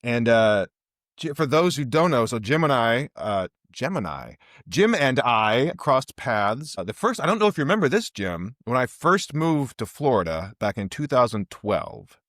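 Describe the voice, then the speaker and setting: A man's voice: mid-range voice, big pitch swings, moderate pace, a bit bright, no echo, clean studio sound. A man with an enthusiastic, emphatic manner, hosting a podcast in a conversational style. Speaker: podcast host and interviewer.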